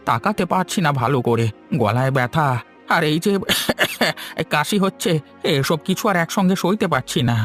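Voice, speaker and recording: A man's voice: a little high, animated, a little slow, long pauses, fairly bright tone, soft background music. A man voicing a sick, self-pitying old village husband in a cartoon, talking in a weak, complaining way. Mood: weak, despairing. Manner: plaintive, complaining. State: sick, coughing. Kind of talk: cartoon voice acting.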